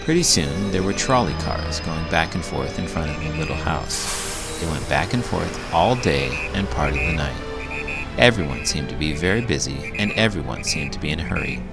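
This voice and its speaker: Low-voiced man reading a storybook over music. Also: animated, moderate pace, gravelly. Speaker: children's book reader, elementary school teacher. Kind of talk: expressive read-aloud storybook narration. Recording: music behind the voice. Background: prominent music.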